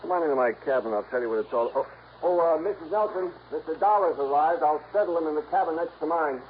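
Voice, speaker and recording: An American man playing an earnest, businesslike insurance man in a 1950s radio drama, brisk and matter-of-fact with a folksy touch. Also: fairly high pitch, natural voice. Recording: muffled, faintly noisy old radio recording.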